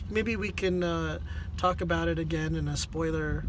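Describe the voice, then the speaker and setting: A man's voice: fairly high pitch, steady tone, normal speed, noisy background. A man giving a casual conversational movie review, hesitant and rambling as he searches for words. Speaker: film reviewer on a video channel.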